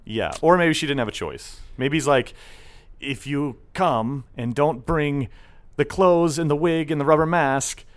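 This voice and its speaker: A man's voice: on the high side, a little slow. A young man hosting a casual podcast chat, joking and often slipping into silly put-on voices. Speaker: podcast host.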